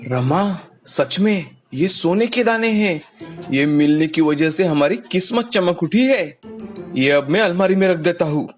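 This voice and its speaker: A man's voice: high voice, animated, measured pace, faint music behind. A man acting an emphatic village husband. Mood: delighted. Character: village husband, astonished, possessive about his gold. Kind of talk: dramatised children's moral story.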